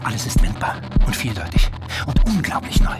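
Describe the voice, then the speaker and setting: A man's voice: lowish voice, huge pitch swings, fairly quick, whispered. A male actor playing a manic, paranoid writer in psychotic breakdown, narrating a literary radio-play monologue with feverish intensity. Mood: panicked. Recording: loud music under the voice. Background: prominent music.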